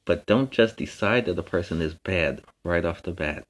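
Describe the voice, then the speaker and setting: Man playing a low-voiced, earnest friendly superhero. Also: normal speed, close to an everyday voice. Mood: earnest, reassuring. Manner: earnest, gentle explaining. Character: friendly, earnest young male superhero mentoring kids. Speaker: children's story time host. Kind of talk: informal talk to an online audience.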